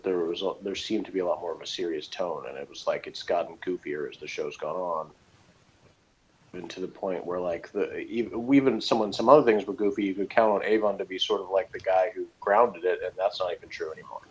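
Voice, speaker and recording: A man's voice: sits low, a little fast, low-quality recording. A man co-hosting a casual, opinionated episode review in a rambling, loosely phrased way, with faint noise behind him. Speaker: review show co-host.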